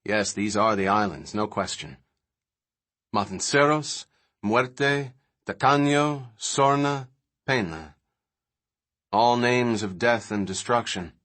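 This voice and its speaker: A man's voice: lowish voice, lively intonation, takes it slowly, long pauses, a bit bright. Man voicing an intense, lecturing male scientist. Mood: absorbed, intent. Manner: emphatic, declamatory, rhetorical.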